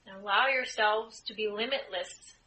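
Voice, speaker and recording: A woman leading a guided group meditation as a spiritual channeler, speaking in measured, deliberate phrases with frequent pauses. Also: mid-range voice, sharp tone, rough recording. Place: indoor room, live group setting. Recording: live group room, clear background noise.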